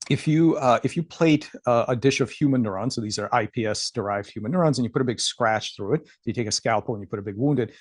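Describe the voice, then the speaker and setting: A man giving a scientific lecture over slides, halting with many fillers and repeats, and losing his voice. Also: mid-range voice, dry recording, clean studio sound. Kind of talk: academic talk over slides.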